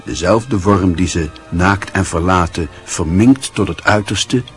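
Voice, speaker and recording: A man's voice: low-pitched, measured pace, faint music behind. A man narrating a radio play as a grave, literary storyteller. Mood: grave, reflective.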